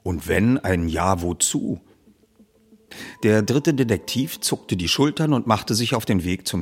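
A man reading a youth detective story as narrator, in a measured, expressive storytelling way. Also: fairly low pitch, breathy edge. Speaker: audiobook narrator. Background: music under the reading.

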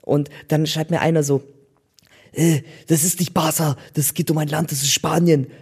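A man's voice: on the high side, flat delivery, moderate pace, a little mellow, slightly rough. A young man chatting casually about football in colloquial, slangy speech sprinkled with English loanwords. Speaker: football talk co-host.

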